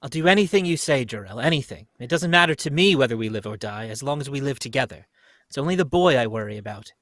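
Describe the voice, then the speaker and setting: A man's voice: mid-range voice, animated, fast talker, fairly bright tone, no room sound, studio quality, natural voice. A young man voicing a devoted, anxious wife and mother in a live radio play reading, earnest and melodramatic. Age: young adult. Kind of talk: live amateur reading of old radio script.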